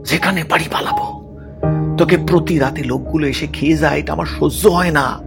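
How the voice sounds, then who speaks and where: A man acts a coarse, possessive pimp in an audio drama, insistent and defiant with his lover. Very loud music plays along with his voice. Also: on the high side, fairly even intonation, a little fast, vocal fry. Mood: possessive, urgent. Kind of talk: Bengali audio story acting.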